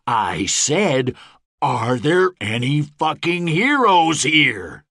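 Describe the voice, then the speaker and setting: A man's voice: a little high, expressive, takes it slowly, dry recording, studio quality, voice pushed up, heavily acted. A man voicing a rousing fantasy mercenary leader in an audiobook, giving an impassioned, declamatory speech to an army before battle. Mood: roaring, fervent. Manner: impassioned, declamatory, shouting oratory. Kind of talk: audiobook fantasy character acting.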